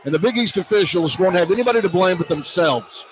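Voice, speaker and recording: Male American play-by-play radio announcer, higher voice, excitable home-team commentary over arena noise. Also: fast. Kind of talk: live basketball play-by-play commentary. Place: courtside in a basketball arena. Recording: noisy live arena radio broadcast. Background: crowd and arena noise.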